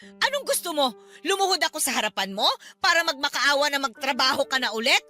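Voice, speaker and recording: Defiant wife, very high-pitched, piercing, indignant, scolding, Filipino accent, emotional radio drama. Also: female voice, very animated, fairly quick, sharp tone, soft background music, full character voice. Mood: angry scorn. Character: fed-up, defiant, hard-working wife and mother.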